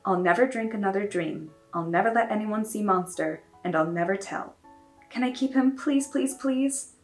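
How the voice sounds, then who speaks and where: A woman's voice: moderate pace, faint music behind, natural voice. A woman voicing a young girl who pleads insistently to keep a monster. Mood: coaxing. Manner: high-pitched, pleading and insistent.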